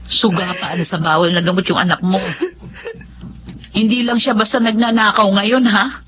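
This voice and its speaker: Actress as frank scolding female neighbour, Filipino accent, medium pitch, radio drama, dull sound. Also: steady tone, normal speed, a bit bright, close to an everyday voice. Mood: blunt, reproachful. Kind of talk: Tagalog radio drama acting.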